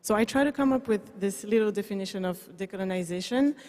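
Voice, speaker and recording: A woman's voice: medium pitch, quick pace, darkish tone. A woman from Belgium who works in museum public services, speaking earnestly as a panelist. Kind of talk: panel discussion. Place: large museum event hall. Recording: reverberant public hall.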